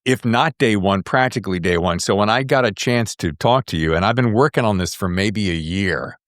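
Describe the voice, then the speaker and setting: A middle-aged man hosting a casual interview show, talking in an excitable, geeky and slangy way. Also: on the low side, fairly quick, no echo, clean studio sound. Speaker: podcast host.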